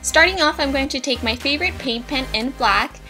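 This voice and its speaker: A woman's voice: high-pitched, a little fast. A young woman, bubbly and upbeat, walking viewers through a craft tutorial step by step. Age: young adult. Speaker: DIY vlogger. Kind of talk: casual tutorial voice-over. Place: indoors in front of a brick wall. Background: background music.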